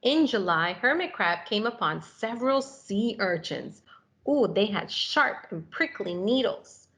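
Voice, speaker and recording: A woman reading a picture book aloud to children, animated and expressive, with questions for young listeners. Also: mid-range voice, measured pace. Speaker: story reader for children.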